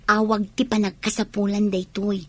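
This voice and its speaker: A woman's voice: medium pitch, level tone, moderate pace, no echo, close to an everyday voice. An actress with an Ilocano Filipino accent playing a cunning, pert young con-woman in a radio drama. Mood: sly, unrepentant. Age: young adult.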